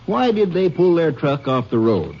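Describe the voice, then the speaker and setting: An actor playing a friendly small-town Texas sheriff in a 1950s radio drama, speaking in a folksy, easygoing way. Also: male voice, fairly high pitch, big pitch swings, moderate pace. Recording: dull lo-fi old radio recording with faint hiss.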